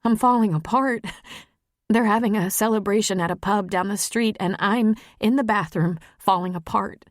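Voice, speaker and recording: Narrator as an emotional woman, pitched up, candid. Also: moderate pace, dry recording, clean studio sound, lightly acted. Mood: tearful, shaken. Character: emotional, candid adult woman lawyer in love.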